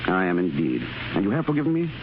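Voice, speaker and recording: An actor playing a charming, gallant soldier in a 1930s radio drama, smooth and gently teasing, on a dull old broadcast with loud music behind. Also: male voice, deep voice, huge pitch swings, fast talker, background noise.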